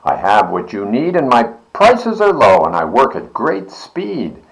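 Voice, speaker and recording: A man's voice: mid-range voice, huge pitch swings, a little slow, creaky, exaggerated voice, poor audio. A man voicing a slick, cheerful swindling travelling salesman with emphatic showman patter, from a children's storybook. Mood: eager, selling.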